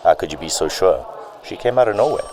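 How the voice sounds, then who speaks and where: A man acting a stern, skeptical chief of police in an amateur radio drama, gruff and commanding. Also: low voice, expressive, fast, background noise.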